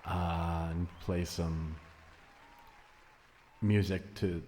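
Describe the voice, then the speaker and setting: A man's voice: deep voice, little pitch movement, extremely slow, mellow, a faint crowd, slight background noise. A man playing an earnest, awkward activist band frontman in improvised comedy, hesitant and repeating himself as he pleads.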